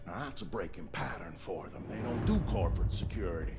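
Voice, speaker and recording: An actor playing a savvy middle-aged ex-military buddy in a video game, brisk, wry and plain-spoken. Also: male voice, low voice, expressive, music in the background. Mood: brisk, businesslike. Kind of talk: video game dialogue and narration.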